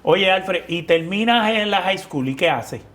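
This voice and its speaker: Interview host, a touch high, encouraging. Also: male voice, expressive, a little slow, fairly bright tone. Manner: encouraging, frequent brief affirmations. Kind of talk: interview conversation.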